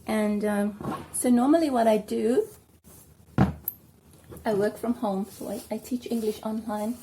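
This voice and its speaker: A South African woman chatting casually at home while doing her hair, rambling and filling pauses with ums. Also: a little high, steady tone, background noise. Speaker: vlogger. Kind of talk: casual hair tutorial chat.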